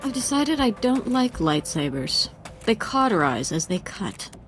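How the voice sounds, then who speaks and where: A young dark-side apprentice in a space-fantasy game. Her mid-range voice is composed and earnest, devoted yet quietly murderous. Light music plays underneath. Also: mid-range voice, very animated, a little slow. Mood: grim satisfaction. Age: young adult. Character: devoted, bloodthirsty young female Sith apprentice. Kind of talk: video game voice acting.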